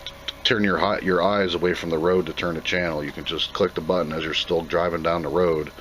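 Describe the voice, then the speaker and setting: A man's voice: low voice, fairly even intonation, a little fast, a bit bright, slight background noise, rough recording. A plainspoken man giving an off-the-cuff, casual commentary as he reviews and unboxes a product.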